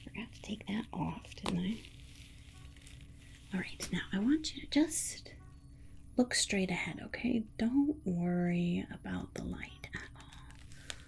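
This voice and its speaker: A woman playing a patronizing yet soothing alien scientist in an ASMR roleplay, softly reassuring her human subject. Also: mid-range voice, animated, slow pace, vocal fry, pauses often. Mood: gently instructing. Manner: patronizing, soothing, hesitant murmured repetitions. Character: condescending, sweetly reassuring female alien scientist examining a human. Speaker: ASMR performer.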